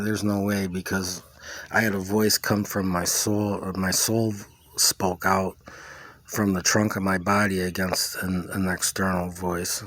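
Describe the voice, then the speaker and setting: A man's voice: sits low, fairly bright tone, no echo. A man recounting a personal experience in a casual, rambling way, often dropping in "you know", "like" and "man". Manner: informal, peppers talk with "you know", "like" and "man". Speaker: vlogger recounting own experience.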